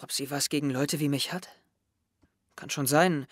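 A man's voice: fairly high pitch, fairly even intonation, moderate pace, a bit bright, no echo, studio quality. A young man playing an introspective, wry teenage schoolboy who narrates his thoughts in an audio drama. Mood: pensive. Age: young adult. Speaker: actor narrating as the main character. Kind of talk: audio drama inner monologue.

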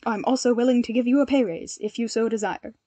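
A woman's voice: on the high side, steady tone, fast talker, mellow, no room sound, close to an everyday voice. A woman voicing a stiff, earnest young hero leader who speaks formally and emphatically. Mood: earnest, emphatic.

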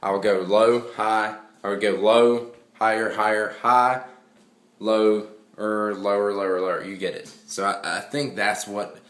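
A man's voice: fairly low pitch, flat delivery, measured pace, fairly bright tone, roomy sound. A young man vlogging from home, rambling casually with lots of fillers and restarts. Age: young adult. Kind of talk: casual vlog monologue. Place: small hallway at home at night.

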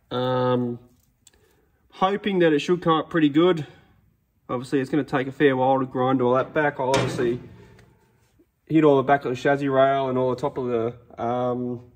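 Australian man, 30s, hoarse with a cold, rambling and casual, phone held at arm's length, big metal garage. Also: medium pitch. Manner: rambling and relaxed, many ums. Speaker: vlogger. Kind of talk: casual workshop vlog. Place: large metal-walled garage workshop, indoors.

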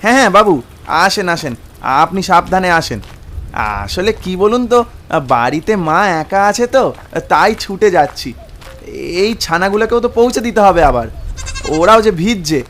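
A man's voice: sits high, lively intonation, a little slow, long pauses, fairly bright tone, slight background noise. A man voicing an eager, polite village goatherd boy in a cartoon, with coaxing, repeated urgings. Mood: eager, helpful. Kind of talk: cartoon voice acting.

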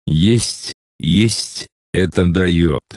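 A man slowly reading out short phrases for a language lesson, with careful, deliberate pronunciation. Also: low-pitched, darkish tone, dry recording, studio quality. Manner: slow, deliberate enunciation. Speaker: Russian phrase reader. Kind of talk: slow scripted phrase reading.

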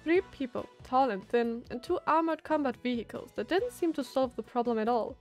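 A woman's voice: high-pitched, lively intonation, brisk, a little mellow, faint music behind. A woman streamer reading visual novel narration aloud as a storyteller.

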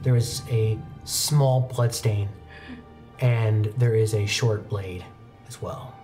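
A young man narrating a tabletop roleplaying game as game master, telling the story in a measured way with pauses. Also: fairly low pitch, steady tone. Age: young adult. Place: indoor studio set, seated at a desk. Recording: background music under the voice.

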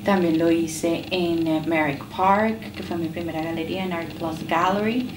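A woman in her mid-thirties with a Colombian accent answers interview questions about herself, pausing between short phrases. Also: fairly low pitch, steady tone. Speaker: artist and designer being interviewed. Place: indoors in a penthouse. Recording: roomy, distant mic, clear background noise.

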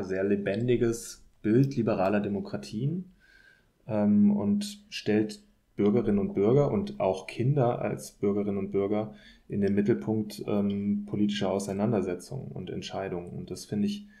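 A man in a thoughtful academic podcast discussion, speaking in a hesitant, measured way as an expert guest. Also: lowish voice, mellow. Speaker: podcast guest, political scientist.

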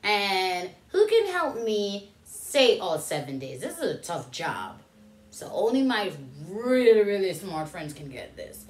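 A woman in her thirties reads a picture book to small children at an unhurried pace. Her pitch swings widely as she poses questions and draws out key words, like a teacher at story time. The room adds a noticeable echo. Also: very animated. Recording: roomy indoor echo.

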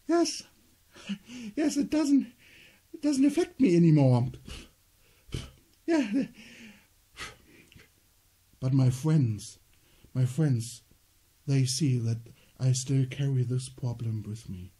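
A middle-aged man from northern Germany speaks in a German accent, with a very dark and somewhat husky voice. He tells his story slowly and gently, often pausing mid-thought like a solo stage performer. He is recorded on a small dark home stage, heard through a camera mic at mid distance. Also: medium pitch, very animated. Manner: gentle, halting, slow storytelling with pauses. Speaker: theater performer.

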